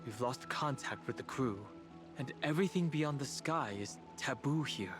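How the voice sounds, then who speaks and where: A man plays a composed young traveling companion in a video game. He is thoughtful and analytical, speaking in a measured mid-range male voice. Music is clearly audible behind him. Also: medium pitch, animated, lightly acted. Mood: pragmatic. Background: background music.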